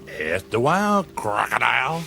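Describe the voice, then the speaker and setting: An actor playing a cocky, carefree office worker with a flippant, glib delivery in a radio drama. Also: male voice, mid-range voice, big pitch swings, measured pace, creaky, pushed and bright, soft background music, exaggerated voice. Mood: flippant, flirty.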